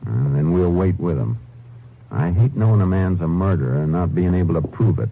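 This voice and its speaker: Deep, mellow Texas Ranger. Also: male voice, animated, brisk, gravelly. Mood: grim. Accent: American. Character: shrewd, determined, laconic Texas Ranger detective, a man. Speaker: lead character and narrator. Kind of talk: 1950s radio drama acting. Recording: noisy old 1950s radio recording.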